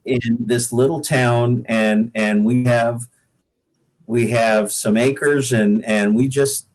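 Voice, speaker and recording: A man's voice: medium pitch, fairly even intonation, takes it slowly, darkish tone, poor audio. American guy, songwriter guest on a podcast, folksy and rambly, kinda repeats himself. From United States, Pacific Northwest. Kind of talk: casual podcast chat.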